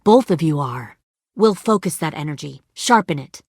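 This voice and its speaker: A woman's voice: deep voice, animated, moderate pace, a little mellow, no room sound, clean studio sound. An actress voicing a ruthless, hardened woman who leads a survivor militia in a video game drama, speaking in a controlled, menacing and persuasive way. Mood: cold, menacing.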